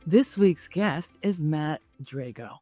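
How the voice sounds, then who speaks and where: A woman's voice: low voice, animated, slow, warm tone, soft background music. A woman hosting a career podcast, moving between a prepared introduction and friendly interview chat. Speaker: podcast host and career coach.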